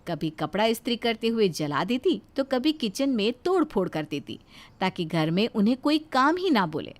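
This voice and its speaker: A woman narrating a scripted moral story as its storyteller. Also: mid-range voice.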